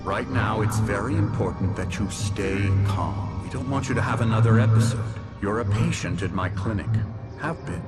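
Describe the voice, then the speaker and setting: An actor playing a calm, patronising psychiatrist at a clinic in a video game, speaking in a clinical, condescending way. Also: male voice, fairly low pitch, normal speed, over loud music, close to an everyday voice.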